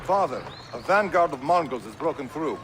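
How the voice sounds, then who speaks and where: An actor playing a dutiful, anxious son in a video game, speaking with urgency. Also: male voice, on the high side, animated, normal speed, noisy background, lightly acted. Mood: alarmed. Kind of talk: dramatic video game cutscene acting.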